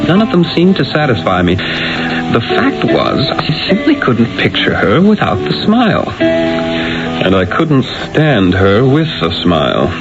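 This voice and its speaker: An actor playing an urbane, exasperated Renaissance painter in a 1970s radio drama, with a theatrical, emphatic, probing manner. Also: male voice, fairly low pitch, heavily acted. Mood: resigned narration. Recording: dull, hissy old radio broadcast.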